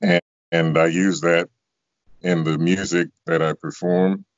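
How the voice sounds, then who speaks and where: A middle-aged American man with a very deep bass voice, chatting casually as an interview guest on a video call. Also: flat delivery, unhurried, dry recording. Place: small room at home, indoors. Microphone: video call, close to device. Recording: dull lo-fi video call audio.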